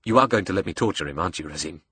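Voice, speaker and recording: A man acts out a young male audiobook villain with a South African accent, bitter and cocky. His delivery is brash and sneering, with a forceful, husky edge and a cruel streak. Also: deep voice, lively intonation, racing, dry recording, heavily acted. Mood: cruel glee. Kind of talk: audiobook character dialogue.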